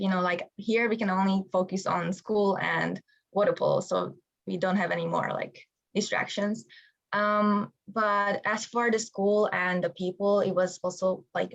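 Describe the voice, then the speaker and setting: A woman's voice: mid-range voice, fairly even intonation, moderate pace, creaky. A young woman from Hungary with a Hungarian accent, a student-athlete answering interview questions in English with many hesitant fillers. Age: young adult.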